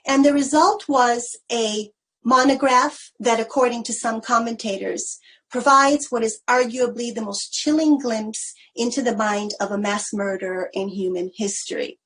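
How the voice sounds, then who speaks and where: Woman lecturer, slightly high husky voice, measured academic delivery with occasional ums. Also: rough recording. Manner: measured, with hesitant fillers. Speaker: research fellow giving a webinar talk. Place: online webinar.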